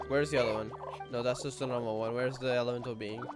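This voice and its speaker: A man plays a game and chats casually, reacting and thinking out loud. His voice sits mid-range and relaxed. Game music plays beneath him. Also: mid-range voice, fast. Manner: relaxed, chatty, thinking aloud. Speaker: gaming streamer.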